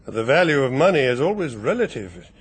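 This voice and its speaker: Husky, formal English solicitor. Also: male voice, mid-range voice, very animated. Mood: polite, careful. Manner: measured, polite, reserved. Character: discreet, loyal, formal family solicitor. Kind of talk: radio mystery drama acting.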